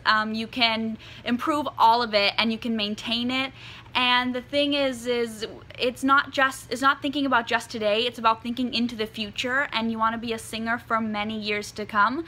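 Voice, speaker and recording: Young woman, singer, bright clear tone, fairly high voice, slightly croaky, chatty, earnest, lots of ums. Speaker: singer and vlog host. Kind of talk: casual advice talk to camera. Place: indoors in front of a dark curtain.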